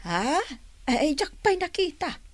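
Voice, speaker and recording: Nosy middle-aged Ilocano auntie, unhurried and very animated, for radio comedy drama. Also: female voice, high-pitched. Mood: gossiping. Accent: Ilocano, northern Philippines. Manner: exclaiming, expressive. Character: nosy, plain-living older aunt, admiring and advising.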